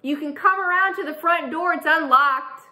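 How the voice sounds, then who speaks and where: A woman's voice: sits high, moderate pace, pushed and bright, pitched above the performer's own voice. A woman voicing a grumpy, stubborn preteen girl in a storybook. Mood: calling. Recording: echoey room.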